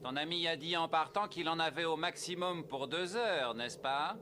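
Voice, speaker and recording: A man voicing a smug, scheming warrior villain in a French anime dub, smooth and theatrical. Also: fairly high pitch, normal speed, noisy background, natural voice. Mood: slyly pleasant. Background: anime soundtrack music.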